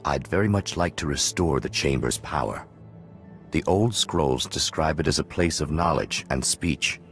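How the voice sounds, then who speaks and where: An actor playing a solemn, penitent hermit watchman in a video game, speaking in a measured, formal, philosophical way. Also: very deep voice, soft background music. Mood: calm, grave. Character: solemn male hermit Jedi watchman, calm, penitent, cryptic.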